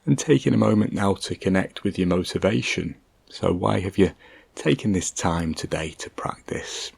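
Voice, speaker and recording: A man leading a guided meditation in a gentle, calm, measured way, leaving long pauses between short instructions. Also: low-pitched, gravelly, husky, no room sound.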